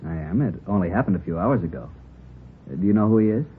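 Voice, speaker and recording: Low-voiced, assured druggist. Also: male voice, lively intonation, fast, husky, full character voice. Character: brisk, suspicious male druggist, sure of himself. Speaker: actor in supporting part. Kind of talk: 1950s radio drama acting. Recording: tinny, rumbly vintage radio recording.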